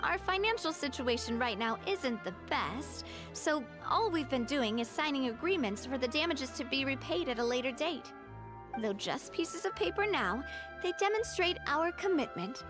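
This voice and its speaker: A woman's voice: high-pitched, animated, normal speed. An actress playing a cheerful, dutiful young woman who leads a town organization in a video game, speaking in a breezy, polite, self-deprecating way. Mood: earnest. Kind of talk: animated video game dialogue acting. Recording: music behind the voice.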